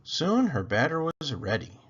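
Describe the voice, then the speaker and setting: A man reading a children's picture book aloud as an expressive narrator. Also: on the high side, a little slow, husky, lo-fi sound.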